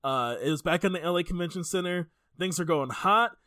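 A man's voice: high voice, a little fast, sharp tone, no echo, clean studio sound. A man hosting a casual podcast, telling stories in an informal, jokey, sweary way. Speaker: podcast host. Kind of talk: casual chatty podcast storytelling.

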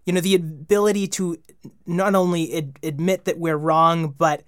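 A young man, a guest who hosts a children's podcast, talking earnestly with a slightly high voice and frequent restarts. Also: steady tone, normal speed, dry recording, clean studio sound. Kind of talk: casual interview chat.

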